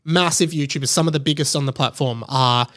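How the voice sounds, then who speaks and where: A man's voice: medium pitch, a little fast, fairly bright tone. A man hosting a podcast solo, giving casual commentary in a conversational way, thinking aloud and repeating words for emphasis. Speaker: podcast host.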